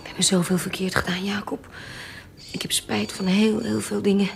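A woman's voice: mid-range voice, little pitch movement, normal speed, vocal fry, a little hiss or hum, close to an everyday voice. An actress playing a remorseful, resigned woman swindler condemned to die, in a Dutch radio drama. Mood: tearful regret.

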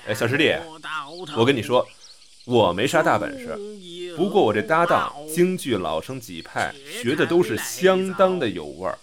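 A man's voice: medium pitch, big pitch swings, moderate pace, a crowd in the background, natural voice. A man playing a brash young comedian who banters sarcastically in an audio drama. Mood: teasing. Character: brash, ribbing young male crosstalk comedian.

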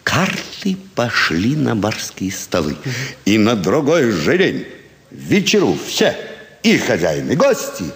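A sly, self-assured card cheat in a classic stage play. His pitch swoops widely as he draws out his tale slowly, savouring every phrase. His voice rings through a long hall reverb. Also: huge pitch swings, heavily acted. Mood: relishing, animated. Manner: theatrical, savouring, drawn-out storytelling with dramatic pauses. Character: sly, self-assured male card sharper relishing his own cunning. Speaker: actor in an audio play. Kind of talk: theatrical classic stage comedy dialogue, storytelling monologue.

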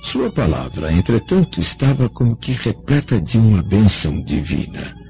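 An actor playing a remorseful aging Roman senator in a radio drama, solemn and declamatory, with a husky voice. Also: male voice, on the low side, wildly swooping pitch, moderate pace, warm tone. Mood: solemn wonder. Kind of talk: Brazilian radio drama acting. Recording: dull lo-fi radio drama recording, music.

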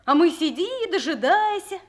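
An actress playing an impatient young officer's wife in a Russian radio drama, with an emotional, plaintive manner. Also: female voice, extremely high pitch, expressive, normal speed. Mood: impatient, complaining. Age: young adult.

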